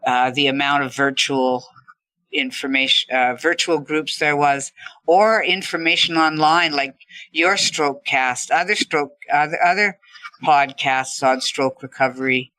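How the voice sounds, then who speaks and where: A woman's voice: low-pitched, fairly even intonation, unhurried, sharp tone, no echo, low-quality recording. A woman talking reflectively as a podcast guest, earnest and appreciative. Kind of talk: reflective interview talk.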